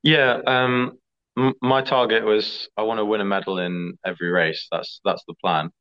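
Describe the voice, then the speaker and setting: A young man, an athlete answering interview questions in a relaxed, chatty way. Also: on the low side, normal speed, clean tone. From England. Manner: relaxed, chatty, fillers like "like" and "sort of". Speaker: skeleton athlete. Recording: slightly dull lo-fi audio.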